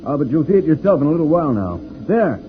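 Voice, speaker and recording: An actor playing a calm, seasoned, fatherly spaceship commander who explains things reassuringly in an old-time radio serial. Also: on the high side, quick pace. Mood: reassuring, instructive. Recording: tinny, noisy old radio recording.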